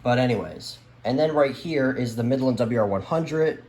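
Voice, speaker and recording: A man's voice: medium pitch, animated, moderate pace, a little mellow. A man giving a casual, unscripted show-and-tell as a collector, rambling hesitantly and often trailing off. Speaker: radio collector presenting their collection. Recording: roomy echo, light background noise.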